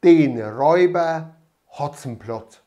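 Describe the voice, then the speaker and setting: A man voicing a cheerful, cheeky young puppet hero in a slightly raised, bright voice. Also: fairly high pitch, expressive, slow, muffled recording.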